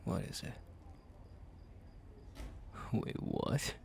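A man playing a jealous, tender young best friend confessing love in an intimate ASMR roleplay, halting and murmuring with breathy sighs. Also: a little mellow. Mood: tender, possessive.

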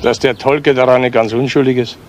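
An actor playing an outspoken, defiant village tavern regular in a 1970s German radio crime drama. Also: male voice, mid-range voice, steady tone, brisk, close to an everyday voice. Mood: defiant, bitter.

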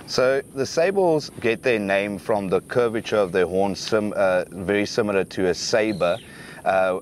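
Young south african guy, afrikaans first language, lowish voice, halting with lots of uhs, outdoors in the bush, faint noise. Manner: halting, many fillers and restarts. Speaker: professional hunter guide. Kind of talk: outdoor hunt recap to camera. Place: outdoors in dry bushveld.

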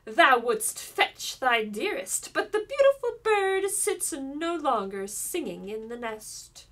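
A woman voicing a wicked old enchantress, loud, mocking and venomous. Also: sits high, big pitch swings, slow pace, heavily acted.

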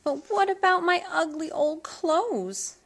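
A woman's voice: very high-pitched, lively intonation, slow pace, voice pushed up, full character voice. A woman voicing a spirited, sweet young cowgirl heroine in a western storybook, eager and exclamatory. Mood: worried.

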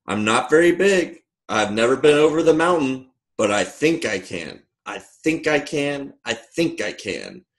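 A man's voice: mid-range voice, big pitch swings, measured pace, few pauses, pitched above the performer's own voice. Man as a little lady train engine, kind, earnest, determined, children's storybook. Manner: gentle, earnest, repetitive effort.

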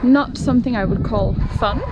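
A woman's voice: a little high, moderate pace, heavy background noise. A woman in her thirties, a travel vlogger, talking to a handheld camera outdoors on a mountain trail in an upbeat, guiding way. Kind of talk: casual vlog narration to camera. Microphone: camera held at arm's length.

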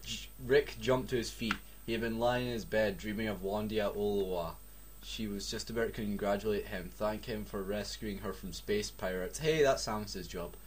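A man's voice: fairly low pitch, normal speed, slight background noise. A young Scottish man reading a badly written story aloud, mocking it with swearing and jokes. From Scotland. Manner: sarcastic, swears a lot, breaks off to joke. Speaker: reader.

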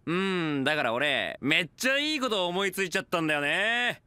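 Flippant, playful boss for anime drama CD; bright, close dry sound. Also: male voice, sits high, big pitch swings, normal speed, clear, resonant tone, dry recording, heavily acted. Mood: gleeful, scheming. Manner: playful, breezy, teasing. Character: flippant, gleeful male talent agency boss. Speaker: voice actor. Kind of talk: anime-style audio drama acting.

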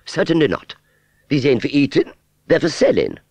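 A man's voice: mid-range voice, very animated, normal speed, darkish tone, no room sound, voice pushed up. A man voicing a vain, haughty female fairground doll in a storybook, with an affectedly genteel but ungrammatical way of speaking.

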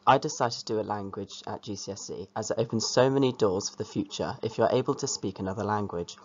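A teenage boy, a student, reading a short prepared statement slowly and carefully. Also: lowish voice, highs cut off.

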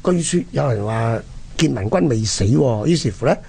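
A man's voice: medium pitch, lively intonation, normal speed, creaky, dark tone, slightly rough. A man with a Hong Kong accent hosting a history programme, telling stories colloquially and posing rhetorical questions. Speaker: radio history programme host. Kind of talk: animated historical storytelling.

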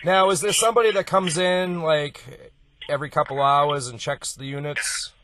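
A man's voice: fairly high pitch, fairly even intonation, normal speed, sharp tone. Casual male caller on a noisy phone line. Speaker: prank caller posing as a customer. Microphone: telephone. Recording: noisy telephone recording, clicks.

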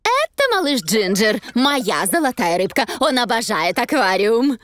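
A woman's voice: fairly high pitch, very animated, a little slow, fairly bright tone, dry recording. A woman voicing a friendly, helpful rabbit shopkeeper in a dubbed preschool cartoon. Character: friendly, chatty grown-up female rabbit shopkeeper. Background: light music.

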